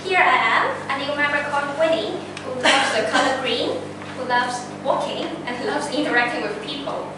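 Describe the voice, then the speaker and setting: A young woman delivers a prepared speech in a high voice. She sounds earnest and orderly in her phrasing. The recording is heavily echoey and picked up from a distance, with clear background noise. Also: moderate pace. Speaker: speaking club member giving a speech. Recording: echoey room, distant mic, noisy background.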